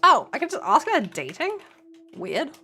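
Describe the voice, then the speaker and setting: A woman's voice: sits high, huge pitch swings, normal speed, pushed and bright, soft background music. A young woman streaming a game, chatting casually, blunt and swearing, laughing often. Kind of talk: casual live-stream commentary.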